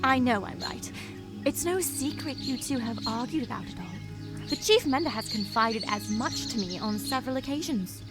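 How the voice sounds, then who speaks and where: High voice, woman acting as fussy rabbit healer, prim, chatty, condescending, fantasy game, music behind. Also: lively intonation, normal speed, natural voice. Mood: prim, lecturing. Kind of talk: visual novel voice acting.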